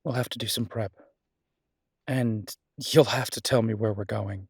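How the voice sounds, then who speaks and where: A man playing a devoted, stubborn, protective werewolf detective in an intimate audio roleplay, speaking earnestly and insistently with emphatic pauses. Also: lowish voice, steady tone, vocal fry, husky, dry recording, studio quality, full character voice. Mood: calm, planning. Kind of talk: dramatic roleplay audio acting, intimate one-sided dialogue.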